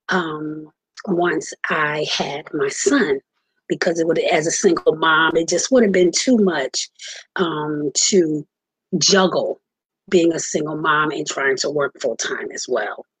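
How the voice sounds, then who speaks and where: A woman in her forties hosting her own podcast, telling her personal story earnestly with many pauses and fillers. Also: low voice, breathy edge, dry recording, low-quality recording. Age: 40s. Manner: earnest, halting with many ums. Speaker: podcast host. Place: home, just moved in.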